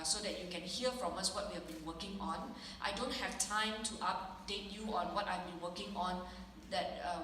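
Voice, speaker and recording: A woman's voice: lowish voice, sharp tone. A woman with a Malaysian accent giving a town hall speech as an elected representative, emphatic and plain-spoken. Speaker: elected representative addressing constituents. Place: large indoor hall at a public meeting. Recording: very echoey hall, distant, faint noise.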